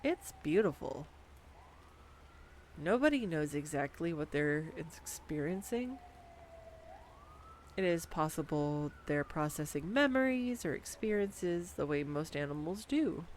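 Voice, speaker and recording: A woman voicing an excitable, nerdy young girlfriend who rambles enthusiastically with giggles in a scripted audio roleplay, recorded on a condenser microphone. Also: low-pitched, a little slow, slight background noise. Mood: tender. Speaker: voice actor. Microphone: USB condenser microphone.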